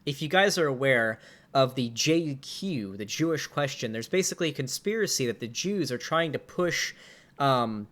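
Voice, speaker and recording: A man's voice: medium pitch, normal speed. A man in his twenties streaming live, talking casually and reacting with exasperated outbursts and swearing as he comments on memes. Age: 20s. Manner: swearing, animated and exasperated, with laughs.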